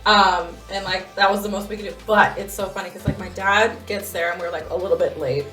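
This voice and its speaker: A woman's voice: mid-range voice, fairly even intonation, fairly quick, sharp tone, faint music behind, rough recording. A woman co-hosting a podcast, chatting casually in slangy language and acting out what people said as she tells stories. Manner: slangy, filler-heavy, acts out quoted remarks. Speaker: podcast co-host. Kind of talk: casual chat, animated storytelling.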